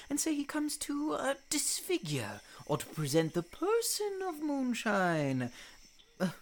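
Earnest amateur stage director played by a woman, highish voice with huge swings, fussy and theatrical, radio Shakespeare. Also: exaggerated voice. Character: Peter Quince, earnest, fussy amateur play director, male. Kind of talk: Shakespeare radio play acting.

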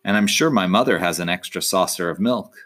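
A man's voice: low-pitched, fairly quick, fairly bright tone. A man voicing a kind, eager little boy in a storybook, speaking earnestly. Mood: friendly.

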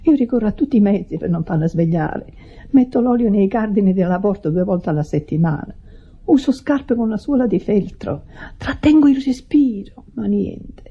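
An actress in a radio drama playing an anxious, pious, smothering woman who nurses an invalid, fretful and hushed, with a husky voice. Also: medium pitch, moderate pace. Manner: fretful, hushed, theatrically solicitous. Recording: dull lo-fi radio recording.

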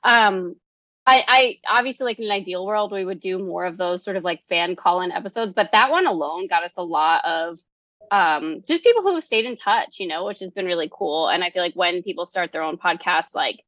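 A woman's voice: fairly low pitch, animated, fairly quick, fairly bright tone, no echo, highs cut off. A woman podcaster talking casually as a guest on a show. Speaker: podcast guest, host of another podcast. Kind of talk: casual chat.

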